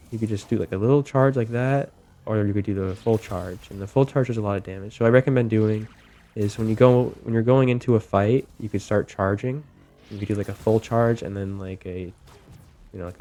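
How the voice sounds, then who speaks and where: A young man getting over a cold, talking through game tips in a relaxed way with lots of ums and likes. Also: fairly low pitch. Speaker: gaming video host. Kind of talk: casual gameplay commentary.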